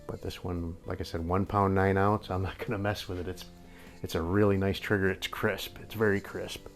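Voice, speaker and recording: Older man, a bit hoarse, low voice, rambling chuckling review, workshop, faint music behind. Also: little pitch movement, normal speed. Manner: rambling, chuckles often, self-correcting. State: a little hoarse. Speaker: airgun reviewer. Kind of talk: casual review commentary. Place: indoor workshop with tool wall. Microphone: camera at a few feet.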